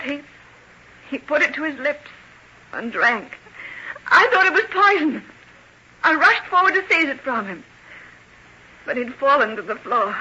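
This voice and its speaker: An actress playing a terrified young woman in an old-time radio melodrama, tremulous and halting as she pleads to be believed. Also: bright, forceful tone, full character voice. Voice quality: high, tremulous voice. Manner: anguished, breathless, halting dramatic delivery. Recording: muffled, noisy vintage radio recording.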